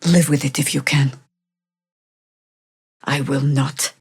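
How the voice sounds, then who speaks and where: A woman playing a weathered, stern and wry old druid warrior in a video game, with a measured, dry, commanding delivery. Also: extremely low pitch, steady tone, a little mellow, breathy edge, no room sound, full character voice. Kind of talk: dramatic video game voice acting.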